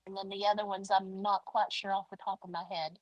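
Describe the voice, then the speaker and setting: A woman in her forties talks about her books in a relaxed interview, pausing often on fillers and starting sentences over. Her voice sits at a middling pitch and sounds close and dry, with no room around it. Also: no echo, dull audio. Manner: hesitant, many fillers and restarts. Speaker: author guest. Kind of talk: casual interview talk.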